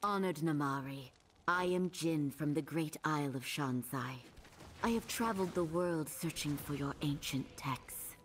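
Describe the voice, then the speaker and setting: A woman's voice: deep voice, measured pace, background noise. A woman playing a confident, eager young wizard scholar in a video game, assured and formal. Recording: slightly distant, with game ambience.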